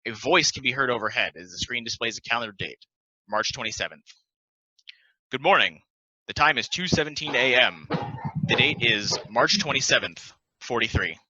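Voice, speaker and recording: Very bright, crisp-edged male narrator. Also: medium pitch, lively intonation, normal speed, no echo, highs cut off. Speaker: narrator reading stage directions. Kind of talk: scripted narration at play table read.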